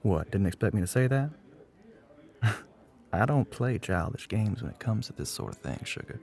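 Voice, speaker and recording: Man acting a gravelly, flirty Southern US bartender. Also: lowish voice, expressive, normal speed, a little mellow. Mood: confident, flirty. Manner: drawling, smooth-talking, pet names, often breathy near-whisper. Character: smug, flirty, confident middle-aged Southern male bartender. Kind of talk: intimate ASMR roleplay.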